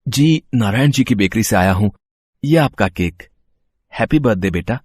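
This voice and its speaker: Man playing a humble, lowish-voiced delivery man. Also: lively intonation, moderate pace, a little mellow, husky, dry recording, clean studio sound. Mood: polite. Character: humble, polite young cake delivery man. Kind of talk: animated Hindi moral story acting.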